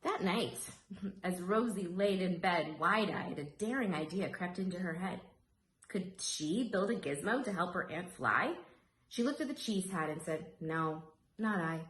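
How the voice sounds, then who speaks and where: A woman reading a rhyming children's story aloud in an expressive, dramatic storytelling manner. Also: lowish voice, a little slow. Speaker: storybook reader. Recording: roomy echo, faint background noise.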